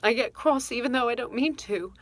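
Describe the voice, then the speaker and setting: An actress playing a regretful, quick-tempered mother confessing to her estranged son, in a halting dramatic monologue. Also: fairly high pitch, no echo, close to an everyday voice. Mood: weary, regretful. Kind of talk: dramatic monologue, self-taped audition.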